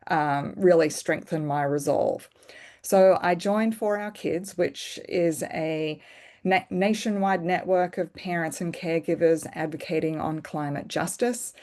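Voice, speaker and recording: A middle-aged woman with an Australian accent gives an earnest, measured presentation on a video call. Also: deep voice, a little mellow. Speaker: guest presenter. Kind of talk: online presentation.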